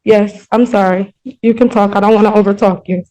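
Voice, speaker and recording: An American woman addressing a judge in court, speaking haltingly, apologetic but insistent and repeating herself. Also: medium pitch, fairly even intonation, warm tone, rough recording. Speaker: member of the public addressing a court. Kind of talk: spontaneous plea to a judge.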